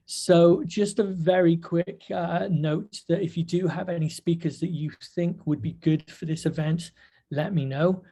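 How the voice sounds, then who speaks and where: A hoarse man hosting a webinar over a video call, halting with many fillers. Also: fairly high pitch, fairly even intonation, mellow. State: hoarse, nearly losing his voice. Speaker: webinar host. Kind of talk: casual webinar talk. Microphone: webcam video call.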